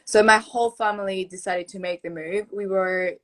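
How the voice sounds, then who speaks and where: A woman's voice: mid-range voice, steady tone, moderate pace, fairly bright tone. A young woman from Argentina with a mixed Argentinian accent, a native Spanish speaker, chatting as a guest on a live video call and laughing often. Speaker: guest influencer.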